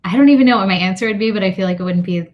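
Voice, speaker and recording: A woman's voice: mid-range voice, racing, lo-fi sound. A woman hosting a meetup interview over a video call, chatty and friendly, with frequent fillers.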